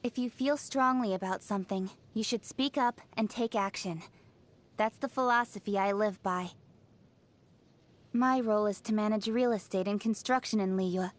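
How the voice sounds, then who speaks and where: A woman voicing a confident, brisk, principled young female city official in a video game, articulate and businesslike. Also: medium pitch, close to an everyday voice. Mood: composed, businesslike. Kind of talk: scripted video game character voice lines.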